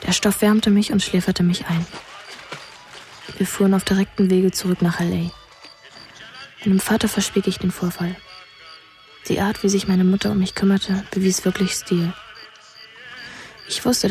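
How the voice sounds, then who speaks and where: Woman playing an even-toned, detached young boy narrator. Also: lowish voice, lightly acted. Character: detached child narrator, a boy recalling his mother. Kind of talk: literary first-person radio drama narration.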